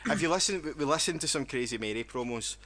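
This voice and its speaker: Brief: male podcast host, sharp bright voice, quick to argue. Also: mid-range voice, lively intonation. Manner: chatty, argumentative, laughs often. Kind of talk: casual wrestling chat.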